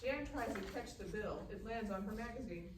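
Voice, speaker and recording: A woman's voice: medium pitch, a little fast. A woman reading stage directions as scripted narration at a live table read. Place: live festival event room. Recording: echoey, distant, noisy live room.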